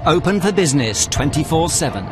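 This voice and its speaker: A man delivers clipped, scripted voice-over lines for reality television, his pitch slightly raised and moving lively from phrase to phrase. Loud music plays under every line. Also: fairly quick. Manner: crisp, clipped announcements. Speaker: narrator.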